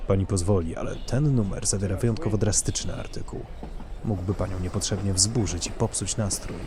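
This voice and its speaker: A man voicing a courteous, easily flustered gentleman traveller with formal old-fashioned speech in an audio drama. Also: low voice, fairly quick, crowd noise, natural voice. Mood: courteous, gallant. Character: polite, flustered, gallant gentleman traveller Jan.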